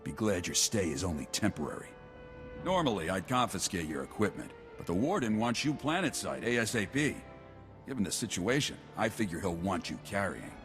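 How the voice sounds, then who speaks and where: A gruff, businesslike prison officer, fairly low in pitch with very lively intonation. He is blunt and cautioning in game dialogue, with clear music behind him. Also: a little fast. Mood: blunt, wary. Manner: blunt, matter-of-fact, cautioning. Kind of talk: scripted video game dialogue.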